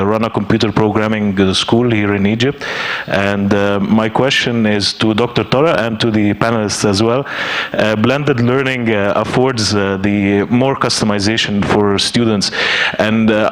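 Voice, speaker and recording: A man with a low voice asking a panel a courteous, formal question. He pauses on fillers between his phrases. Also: a bit bright. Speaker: audience questioner, school founder.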